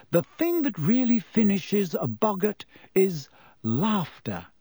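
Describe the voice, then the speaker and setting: A calm, kindly middle-aged professor, as a male audiobook reader puts him on, guides his class in a patient, encouraging way. The voice sits a little high and moves a lot in pitch. The recording is dry and close. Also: no room sound, full character voice. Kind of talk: audiobook character voice.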